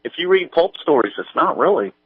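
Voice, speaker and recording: A man chatting casually as an interview guest, thinking aloud in a halting, rambling way. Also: fairly high pitch, very animated, slightly rough, dry recording, narrow, tinny audio. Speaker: guest, audio drama writer and producer.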